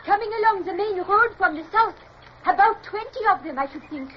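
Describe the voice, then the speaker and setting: A woman's voice: extremely high pitch, lively intonation, brisk. An actress playing a brave, determined woman in a radio adventure drama. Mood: alarmed. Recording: dull, noisy old radio recording.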